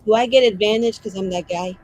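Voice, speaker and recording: A man chatting casually as a tabletop game player, informal, expressive and prone to swearing. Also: high-pitched, quick pace. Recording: faint background noise.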